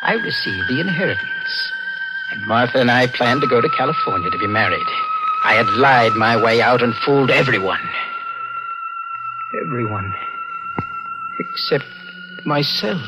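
An old radio mystery broadcast with prominent music behind. a guilty, haunted man, brooding and morose. His pitch swings widely as his nerves show through the dramatic delivery. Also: huge pitch swings, unhurried, pauses often, low-quality recording. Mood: brooding, confessional. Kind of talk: 1940s radio mystery acting, narration and dialogue.

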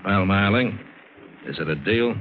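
Casting: wary American adventurer, deep hard-boiled delivery, dramatic old radio play, narrowband and hissy. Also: male voice, animated, unhurried. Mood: hard bargaining. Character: tough, wary, wisecracking seaman and adventurer telling his tale. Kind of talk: 1950s radio drama acting with first-person narration.

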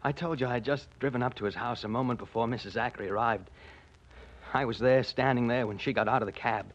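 A man's voice: mid-range voice, fast, natural voice. An American man playing a nervous, desperate murder suspect in a 1940s radio drama, agitated, stammering and pleading. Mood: defensive, tense. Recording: muffled 1940s radio recording.

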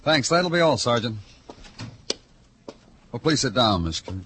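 An actor playing a hard-boiled police detective in an old radio drama, clipped and brooding. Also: male voice, medium pitch, big pitch swings, measured pace, fairly bright tone, slight background noise. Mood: terse. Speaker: detective and narrator. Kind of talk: 1950s radio noir narration and acting.

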